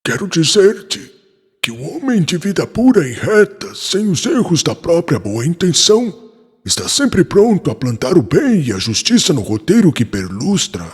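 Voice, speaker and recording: Actor as a very husky, wise spiritual mentor. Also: male voice, fairly high pitch, sing-song. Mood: grave, instructive. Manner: solemn, measured, didactic. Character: wise, patient spiritual mentor counseling a disciple. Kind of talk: audiobook dramatized dialogue. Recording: long reverb on the voice.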